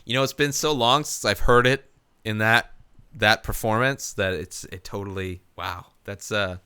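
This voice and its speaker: A man hosting a casual comedy podcast, talking in a rambling, halting way with plenty of swearing. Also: on the low side, steady tone, pushed and bright, smooth, dry recording. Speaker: podcast host.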